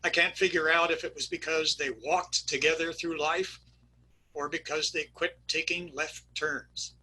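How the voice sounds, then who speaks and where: A man's voice: fairly high pitch, steady tone, measured pace, pushed and bright, slightly rough, low-quality recording. A man reading a wry first-person story aloud over a video call. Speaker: narrator. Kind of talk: reader's theater narration.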